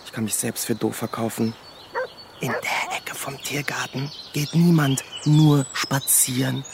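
A flippant, chatty park cruiser in a radio play. His medium-pitched voice teases and needles over clearly audible outdoor ambience. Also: a little slow, background noise. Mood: cheeky, needling. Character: cheeky, teasing male cruiser loitering in a park. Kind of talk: German radio drama acting.

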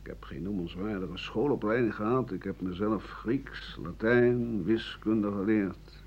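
An actor playing a bitter, cynical old French doctor and writer in a radio play, rambling and scornful with muttered asides. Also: male voice, on the low side, measured pace, darkish tone, close to an everyday voice. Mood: defiant, bitter. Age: older. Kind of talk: radio play monologue in interview form. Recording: muffled, noisy 1980 radio drama recording.

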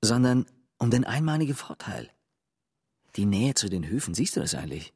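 A young plotter, husky, lively pitch, secretive and insistent. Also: male voice, normal speed, no echo, studio quality, heavily acted. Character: scheming young man named Jo, secretive.

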